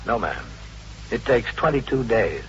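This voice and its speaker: An actor in a 1950s radio drama playing a calm, patient police captain, measured, polite and matter-of-fact. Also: male voice, lowish voice. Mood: firm, reassuring. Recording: noisy, dull vintage radio recording.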